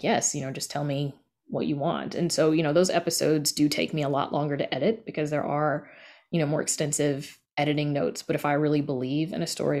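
Woman, low pitch, casual interview talk, informal, conversational, slips into quoted dialogue. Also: a little mellow. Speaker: podcast creator and horror writer being interviewed.

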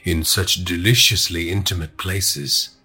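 A man voicing a sadistic male villain in an audiobook, with a silky, husky, slow and taunting menace. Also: low-pitched, fairly even intonation, pushed and bright, faint music behind, voice pushed down, exaggerated voice. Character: smooth-talking sadistic male villain taunting over a radio.

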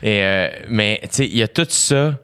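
A Quebec French man hosts a podcast with a somewhat low voice and an easygoing, slangy delivery. He jokes readily with his guest and drops casual swears. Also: normal speed, fairly bright tone, clear, resonant tone. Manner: joking, laughs easily, casual swearing. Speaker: podcast host.

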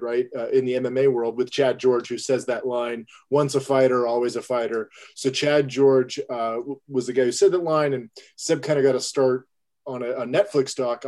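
A man's voice: mid-range voice, a little mellow. A man who is a filmmaker and guest, telling a story with enthusiasm and animation. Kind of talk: casual storytelling in an interview.

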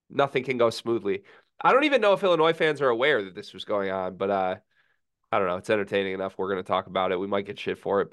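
A man co-hosting a casual sports podcast, sick and feeling rough, with dry deadpan teasing. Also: lowish voice, no room sound. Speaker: podcast co-host.

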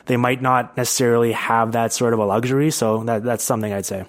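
A man's voice: mid-range voice, fairly even intonation, fast, crowd noise. A man hosting a podcast, talking through sports analysis in a casual, conversational way. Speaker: podcast host.

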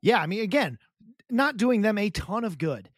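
A man with a fairly high voice talks in a chatty, lively way as a podcast co-host. He stumbles and repeats himself as he goes. The recording is close and dry. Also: moderate pace, no room sound. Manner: chatty, animated, repeats words and restarts often. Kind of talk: casual sports chat.

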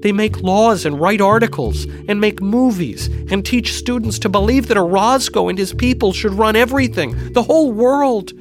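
A man's voice: high-pitched, expressive, moderate pace, hardly any pauses, over music, pitched above the performer's own voice. A man voicing a frightened, drugged young sister in an audiobook, pleading urgently about a conspiracy. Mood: desperate, pleading. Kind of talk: audiobook character acting.

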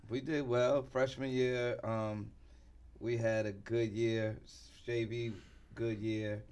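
A middle-aged man speaking as a guest in a sports interview, often pausing mid-thought. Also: mid-range voice, little pitch movement, a little mellow, clear, resonant tone. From New York City, United States. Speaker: interview guest, basketball team insider and former coach.

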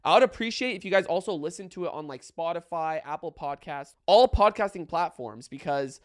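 A man's voice: fairly high pitch, animated, a little fast. A young man hosting a podcast in casual chat, talking loosely and repeating himself into a studio mic. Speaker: podcast host.

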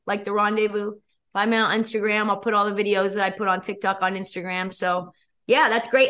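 A young woman guest with a hoarse, worn voice, talking sports and betting in a quick, energetic, opinionated way. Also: medium pitch. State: losing her voice. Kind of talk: casual sports chat.

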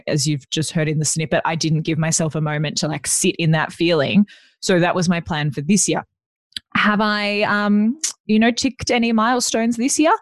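Deep-voiced chatty woman. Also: dry recording, clean studio sound. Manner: chatty, self-deprecating storytelling. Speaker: podcast guest. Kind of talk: casual chat.

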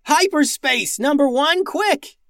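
A man acts out a hot-headed female starship captain in a sci-fi storybook. The voice is pitched high and lively, barking out urgent commands. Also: slow pace, no room sound, studio quality, heavily acted.

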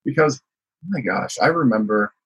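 A man's voice: medium pitch, lively intonation, moderate pace, fairly bright tone, breathy edge, dull audio. A man from the United States, a guest telling his life story in a casual interview, rambling with many fillers. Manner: rambling, frequent "you know" fillers.

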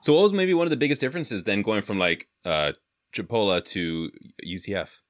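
A man's voice: lowish voice, animated, fairly quick, no room sound. A young man from California hosting a podcast, chatting casually and rambling with lots of filler words. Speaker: podcast host. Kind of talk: casual interview chat.

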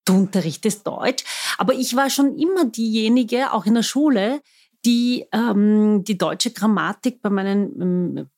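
A woman's voice: mid-range voice, unhurried, darkish tone. A young woman, a podcast host and teacher, speaking in a playfully strict, teasing tone. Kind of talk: casual podcast conversation.

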